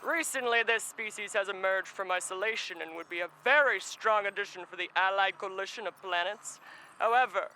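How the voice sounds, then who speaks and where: A woman's voice: mid-range voice, fairly quick, pushed and bright. A woman playing a blunt, businesslike starship crew member in a sci-fi comedy audio drama. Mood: official briefing. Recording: noisy ambience behind the voice.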